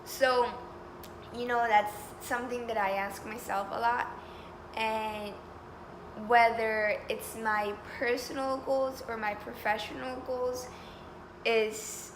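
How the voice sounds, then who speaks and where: Young woman from Colombia, early twenties, eager job candidate, slightly high voice, noisy recording. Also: fairly even intonation, slow pace. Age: 20s. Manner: eager, talkative, upbeat, with frequent fillers. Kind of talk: mock job interview.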